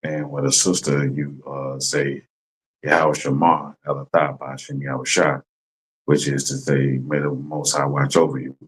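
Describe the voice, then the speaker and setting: A man's voice: very deep voice, little pitch movement, a little slow, husky, poor audio. A man explaining greetings in a casual chat, laid-back, often asking "you know what I'm saying". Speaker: class member explaining greetings.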